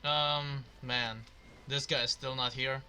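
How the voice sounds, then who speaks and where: A man commenting casually as he plays a game, slow and hesitant. His mid-pitched voice is bright, with faint noise behind. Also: level tone. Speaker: gamer playing and reacting. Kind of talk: casual let's play commentary, reading choices aloud.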